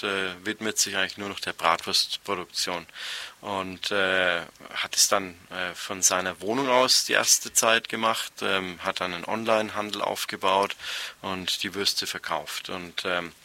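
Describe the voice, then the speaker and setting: Man from Nuremberg, studio interview guest, lowish pitch, very bright edgy tone, halting spontaneous storytelling, many filled pauses. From Nuremberg, Germany. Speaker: interview guest, restaurant co-founder. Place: radio studio.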